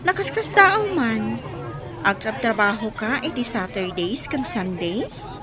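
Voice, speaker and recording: A woman's voice: fairly high pitch, big pitch swings, measured pace, a crowd in the background. A woman playing a chatty, teasing village friend in an Ilocano radio drama, playful and nosy.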